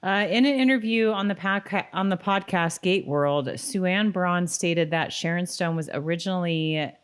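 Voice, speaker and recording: A woman's voice: on the low side, moderate pace, smooth. A woman co-hosting a podcast, talking casually and sometimes reading trivia aloud. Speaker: podcast co-host.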